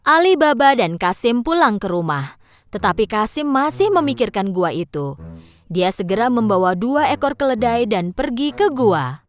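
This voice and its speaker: A woman's voice: on the high side, sing-song, normal speed, no room sound, studio quality. A woman narrating a scripted storybook tale for children. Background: background music.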